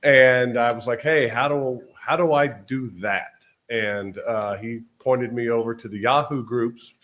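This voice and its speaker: A man's voice: mid-range voice, fairly even intonation, unhurried, fairly bright tone. A man from Texas giving a casual talk at a convention, with lots of fillers and restarts. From Texas, USA. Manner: chatty, many fillers and restarts. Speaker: convention speaker. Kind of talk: casual conference presentation. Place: convention hall stage.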